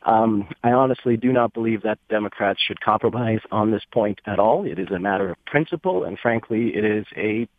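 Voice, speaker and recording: A man from Romania calls in to the show with a lowish voice and speaks emphatically. The sound is thin and phone-like, and dry. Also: a little fast, hardly any pauses, no echo. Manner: emphatic, indignant. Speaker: caller. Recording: tinny phone line.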